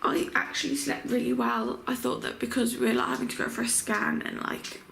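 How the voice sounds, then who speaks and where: A young, heavily pregnant woman chatting casually to her camera at home, full of filler words, her voice croaky. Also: fairly high pitch, steady tone, a little fast, fairly bright tone, slight background noise. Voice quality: croaky morning voice. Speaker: vlogger. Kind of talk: casual vlog chat. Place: home bedroom.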